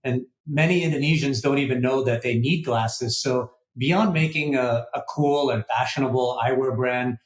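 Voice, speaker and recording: American man in a roomy echo. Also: mid-range voice. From United States. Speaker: startup co-founder, podcast guest. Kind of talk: conversational interview answers.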